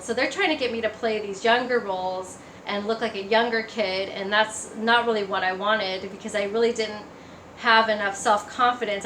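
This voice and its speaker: A woman's voice: medium pitch, a bit bright. A woman giving a personal testimony in a casual, conversational storytelling way. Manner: conversational, acts out remembered remarks. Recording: echoey room, faint background noise.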